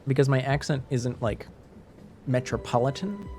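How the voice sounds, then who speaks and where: A man with a light French Canadian accent chats loosely to his live stream audience, circling back and restarting his sentences as he thinks aloud. His voice sits at an ordinary middle pitch, and faint music plays quietly underneath. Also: lively intonation, moderate pace. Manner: rambling, many restarts and fillers. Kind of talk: casual chat with stream viewers.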